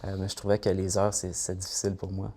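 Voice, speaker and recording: A man talks calmly in a documentary interview. His voice sits low and stays flat, with a mellow tone. He pauses on soft fillers as he thinks. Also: a little hiss or hum. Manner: calm, soft-spoken, hesitant fillers. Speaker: guitar maker.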